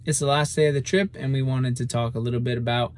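Young man talking flatly in a car. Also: mid-range voice, fast, over loud music. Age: young adult. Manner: flat, matter-of-fact explaining. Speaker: vlog host. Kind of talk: casual vlog talk. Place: inside a parked car. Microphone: phone camera at arm's length.

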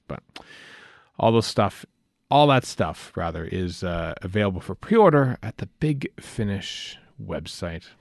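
A man hosting a podcast in casual chat, speaking haltingly with many ums and pauses as he runs through news. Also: low-pitched, gravelly, no room sound, studio quality. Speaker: podcast host.

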